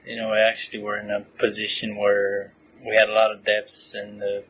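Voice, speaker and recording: Relaxed young man from Romania, low voice. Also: fairly quick, a little hiss or hum, low-quality recording. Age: 20s. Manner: relaxed, chatty, laughs often, many fillers. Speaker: podcast guest, PhD student. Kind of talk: casual interview answers.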